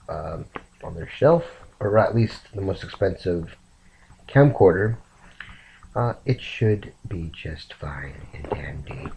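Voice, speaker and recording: A man sick and rambling softly to his camera late at night in a raspy, half-whispered voice. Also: deep voice, a little hiss or hum, low-quality recording. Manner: slow, meandering, soft-spoken. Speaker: vlogger.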